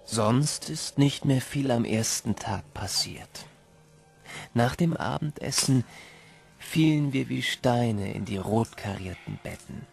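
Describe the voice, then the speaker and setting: An actor narrating an audio drama in a measured, reflective way, as a man recalling boyhood. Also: medium pitch, creaky, a little mellow, soft background music. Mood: quiet, wistful.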